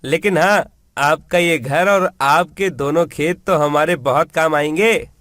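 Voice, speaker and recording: A man's voice: on the high side, a little slow, fairly bright tone. A man voicing a spoiled, greedy grown son, brash and dismissive, in a storybook tale. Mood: contemptuous.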